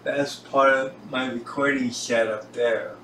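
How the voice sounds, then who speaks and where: An older man giving a casual, halting tour while filming, speaking in short pieces. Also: medium pitch, steady tone, fairly bright tone. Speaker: home recording hobbyist presenting own gear. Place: home recording room, indoors. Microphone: camera on tripod, some distance away. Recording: roomy echo, distant mic, hissy background.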